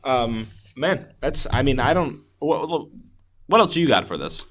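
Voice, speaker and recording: A man with a mid-pitched voice talks casually as a podcast co-host. He discusses films in a loose, conversational way, often qualifying or conceding his own points. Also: sing-song, a little slow, a bit bright, slight background noise. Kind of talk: casual movie discussion chat.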